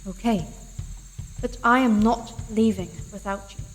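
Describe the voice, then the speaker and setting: An actress playing an earnest, loyal, plain-spoken fleet recruit in a science fiction audio drama. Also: female voice, medium pitch, measured pace, a little hiss or hum, close to an everyday voice. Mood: wary, resolute. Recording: long hall reverb, music behind.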